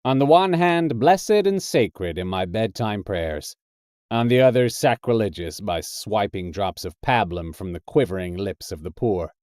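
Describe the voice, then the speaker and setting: A man voicing a tough, thickset American drifter who narrates his own purgatory story in a deliberate, hard-boiled, colourful way. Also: lowish voice, lively intonation, a little mellow, no echo, studio quality. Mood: brooding, deliberate. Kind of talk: dramatic first-person short story narration.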